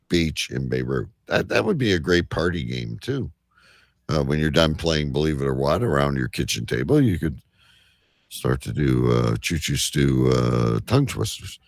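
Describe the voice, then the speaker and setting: A man's voice: very deep voice, gravelly, dry recording, studio quality. A man hosting a live comedy show, bantering in a teasing, foul-mouthed way.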